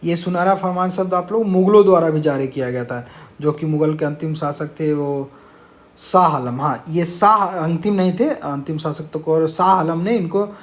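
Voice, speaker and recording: A man's voice: fairly high pitch, normal speed. A man teaching an informal exam-prep lesson, explaining answers and mixing in English words.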